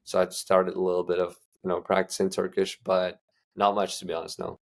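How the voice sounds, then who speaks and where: Young male guest, from Uzbekistan, deep and gravelly, relaxed rambling answers. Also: brisk. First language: Russian. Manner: loose, filler-heavy, many restarts. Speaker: guest entrepreneur. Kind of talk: casual interview answers.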